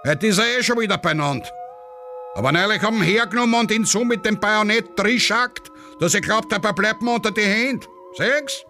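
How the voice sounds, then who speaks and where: A man's voice: high voice, pushed and bright, faint music behind, voice pushed up. Man voicing a gabbling, callous Viennese parent. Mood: callous, grumbling. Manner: rapid, offhand grumbling.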